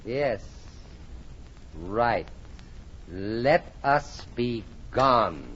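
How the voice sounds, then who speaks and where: A murderous nobleman in an old radio play, slow and deliberate, with sly false courtesy. His theatrical delivery carries cruel glee through a muffled, noisy vintage broadcast. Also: mid-range voice, expressive, fairly bright tone. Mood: mocking cruelty. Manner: sly, mock-friendly, theatrical. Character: vengeful murderous Italian nobleman, mock-courteous, gleefully cruel. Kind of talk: 1940s radio drama acting.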